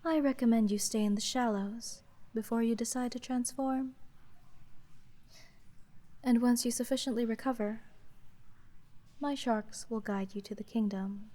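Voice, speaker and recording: A woman playing an aloof, cunning sea witch in a fantasy ASMR roleplay, deadpan and monotone, with formal, condescending speech. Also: a little high, a little slow, pauses often, natural voice. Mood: calm, businesslike. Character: aloof, cunning female sea witch, coolly menacing dealmaker.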